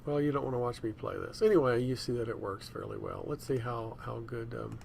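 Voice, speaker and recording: A man presents a software demo in a casual, rambling way, thinking aloud as he goes. His medium-pitched voice has some creak. Faint room noise and keyboard typing sit behind him. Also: lively intonation, brisk. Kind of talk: casual screencast demonstration. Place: indoors at a computer.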